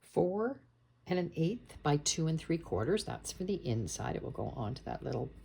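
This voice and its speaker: A woman hosting a card-making tutorial, croaky and hoarse from a cold, chatting and explaining steps patiently. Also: low-pitched, big pitch swings, moderate pace, darkish tone, a little hiss or hum. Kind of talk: casual crafting tutorial.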